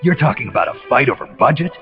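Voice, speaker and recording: A defiant soldier hero in a video game, terse and intense with very animated pitch. The sound is muffled, with very loud music behind him. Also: male voice, rapid-fire. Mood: incredulous. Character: tough, defiant male soldier hero, incredulous and loyal. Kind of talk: dramatic video game cutscene acting.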